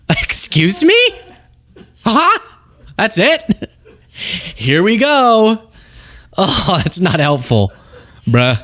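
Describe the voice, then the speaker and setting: A man's voice: high-pitched, very animated, drawn-out words, long pauses, a bit bright, no room sound. A man hosting a political commentary show, talking casually and sarcastically, laughing often.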